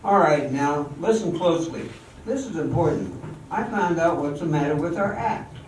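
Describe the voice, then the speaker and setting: A man's voice: a little high, expressive, moderate pace, lightly acted. An older man playing a bossy, hopeful song-and-dance showman, giving emphatic instructions in an amateur radio play. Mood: urgent. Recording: echoey hall, distant mic, faint noise.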